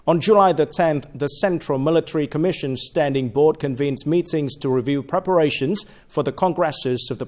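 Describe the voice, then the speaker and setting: A man reading scripted news in a formal, measured way as a presenter. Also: medium pitch.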